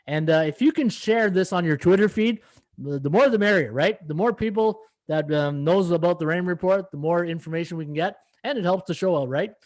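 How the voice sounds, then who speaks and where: A slightly hoarse middle-aged man hosting a live sports betting podcast, rambling through stats and viewer comments with many fillers. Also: high voice, fairly quick, a little mellow, low-quality recording. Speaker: podcast host and sports handicapper. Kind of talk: casual live-stream betting analysis.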